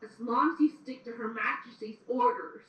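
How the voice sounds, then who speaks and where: Roomy distant sound, actress as dutiful female soldier, high voice, firm, audio drama. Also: normal speed, bright, forceful tone. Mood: firm, urgent. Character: obedient, confident female private in queen's army.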